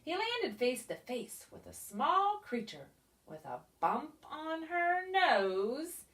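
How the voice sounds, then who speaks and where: Very animated woman reading a storybook. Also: high-pitched, takes it slowly, distant mic. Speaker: storybook reader. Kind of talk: expressive children's read-aloud narration.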